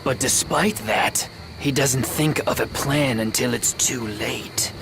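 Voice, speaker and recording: Slow, grave military advisor. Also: male voice, medium pitch, background noise. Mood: critical. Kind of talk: dramatic video game voice acting.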